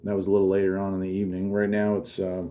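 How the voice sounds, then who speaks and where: A man's voice: deep voice, monotone, rapid-fire, dark tone. A man chatting off the cuff in an enthusiastic way, thinking aloud as he tunes a radio in a room. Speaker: host of a radio hobby channel. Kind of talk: casual off-the-cuff commentary while tuning a radio. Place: room with fridge and air conditioner running. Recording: noisy, shortwave radio static behind. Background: radio static, appliance hum.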